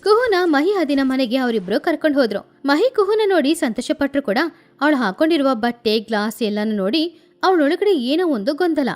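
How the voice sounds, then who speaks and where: A woman narrating a children's story in an animated storytelling style. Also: high voice, moderate pace.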